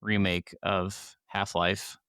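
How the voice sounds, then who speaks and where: A man's voice: low-pitched, level tone, slow, a bit bright, clear, resonant tone, no room sound, studio quality. A man co-hosting a gaming podcast, talking casually. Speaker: podcast co-host.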